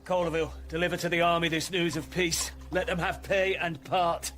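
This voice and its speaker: High-voiced, eager rebel lord played by a man. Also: flat delivery, normal speed, a bit bright, close to an everyday voice. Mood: confident. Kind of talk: classical radio drama acting.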